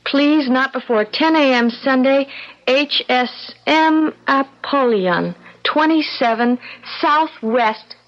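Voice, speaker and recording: An actress playing an eager young newlywed wife, hopeful yet doubting, in an old-time radio drama. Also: female voice, high voice, slow. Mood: eager, insistent. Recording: muffled, hissy vintage radio recording.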